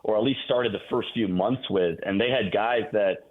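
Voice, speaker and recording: A man's voice: fairly low pitch, normal speed. A man giving sports analysis as a guest announcer on a radio show, heard over a phone-like line.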